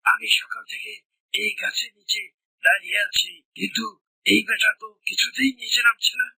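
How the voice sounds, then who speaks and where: A performer voicing a hungry, bullying tiger in a children's cartoon, scolding and menacing in a breathy, rasping voice. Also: medium pitch, fairly even intonation, normal speed, sharp tone, dry recording, full character voice, rough recording. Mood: grumbling.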